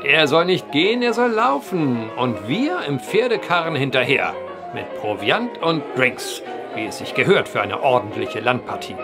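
An actor in a German audio drama playing a teasing, unyielding gambler with a wry, mocking manner. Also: male voice, medium pitch, big pitch swings, moderate pace, over music.

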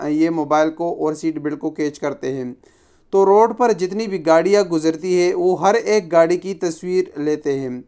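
A male presenter with a smooth, clear and slightly raised voice explains a traffic topic in measured voice-over. He talks steadily, now and then pausing to restart a word. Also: on the high side, darkish tone. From South Asia. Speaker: news channel host.

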